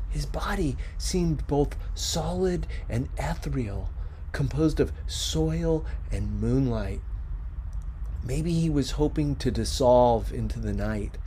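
A man reading a novel aloud as expressive scripted narration, with measured, dramatic phrasing. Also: medium pitch, rough recording. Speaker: audiobook-style reader. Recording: faint background noise.

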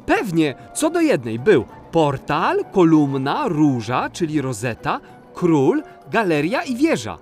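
A performer playing an excitable, mischievous little boy in a children's audio play, eagerly blurting things out. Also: medium pitch, slow pace, soft background music. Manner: eager, blurting questions and exclamations.

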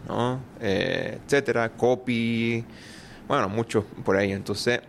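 A man with a Chilean Spanish accent, a writer being interviewed, talking in a relaxed, chatty way with frequent laughs and tag questions. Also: medium pitch, takes it slowly, creaky, a little hiss or hum. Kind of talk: casual conversational interview.

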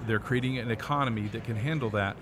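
A man's voice: medium pitch, quick pace. A middle-aged man interviewing casually, often repeating words as he goes. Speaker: podcast interviewer, former band director. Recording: busy crowd chatter behind the voice.

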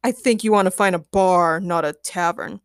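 A woman's voice: mid-range voice, normal speed, dry recording, close to an everyday voice. A cool, unruffled male producer played by a woman in a fan fiction story. The delivery is sardonic and faintly put-upon, with very little room sound on the recording. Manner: dry, sardonic, complaining. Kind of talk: acted fan fiction reading.

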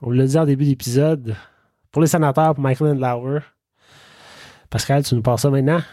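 A man's voice: mid-range voice, steady tone, a little fast, mellow, dry recording, studio quality. A man with a Quebec French accent speaking casually as the host of a sports podcast.

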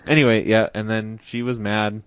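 A young man telling a story on a podcast in a casual, animated way, laughing often. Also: fairly low pitch, normal speed, clean tone. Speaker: podcast guest.

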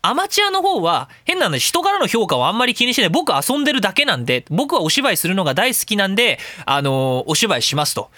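A male radio host with a high, bright voice that swings widely in pitch. He talks in a casual, opinionated ramble, theatrical and self-mocking. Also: big pitch swings, quick pace, hardly any pauses, dry recording, clean studio sound. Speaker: professional speaker and audio engineer.

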